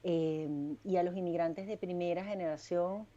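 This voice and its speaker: A middle-aged woman with a strong Venezuelan Spanish accent talks in a lowish, dark, mellow voice. She tells animated stories with self-deprecating humor as an interview guest. The sound is dull and lo-fi, like a home video call. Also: moderate pace. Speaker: clinical psychologist guest. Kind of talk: casual interview chat.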